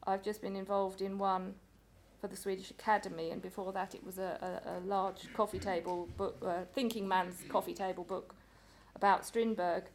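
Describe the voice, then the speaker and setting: A woman speaks on a roundtable panel about her translation work. Her voice is middle-pitched, and her delivery is measured, with small stumbles along the way. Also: little pitch movement, slight background noise. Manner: measured, with stumbles and repeated words. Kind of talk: panel talk.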